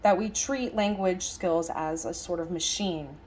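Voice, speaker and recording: A woman voicing a lecture as an interpreter, conversational, speaking in halting phrases with stress on key words. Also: on the low side, darkish tone, a little hiss or hum. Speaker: sign language interpreter voicing a signed lecture.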